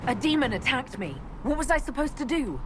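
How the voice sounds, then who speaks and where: A woman's voice: sits high, big pitch swings, fairly quick, background noise. An actress playing a wary, questioning young female adventurer in a video game, with a defensive manner. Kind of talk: dramatic video game acting.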